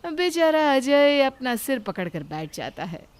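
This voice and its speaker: A woman's voice: high voice, very animated, a little slow, clean tone. A woman reading scripted narration of a family moral story as storyteller.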